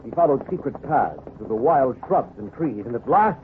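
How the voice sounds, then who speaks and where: A man's voice: medium pitch, moderate pace. A man narrating a scripted adventure drama in an old-time radio style. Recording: tinny vintage radio, steady hiss.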